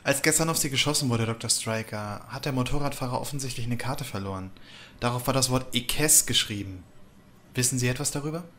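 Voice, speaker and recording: A man's voice: medium pitch, fairly quick, a bit bright, a little hiss or hum, natural voice. A man playing a polite, persistent investigator in an audio drama. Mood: polite, probing. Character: male investigator, polite, persistent, street-smart. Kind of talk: audio drama acting in German.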